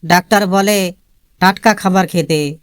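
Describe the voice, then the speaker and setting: A woman's voice: on the low side, a little slow, smooth, no room sound, clean studio sound, natural voice. A woman voicing a naive, wide-eyed young village lad in a comic cartoon, with a questioning manner. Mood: doubtful.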